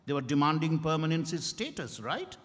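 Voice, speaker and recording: Stern interrogating doctor played by a man, emphatic and animated, stage reading in a reverberant hall with music behind. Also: a little high, fairly quick. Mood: accusing, pressing. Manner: emphatic, relentless, cross-examining. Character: stern accusing male doctor interrogating Mister Y.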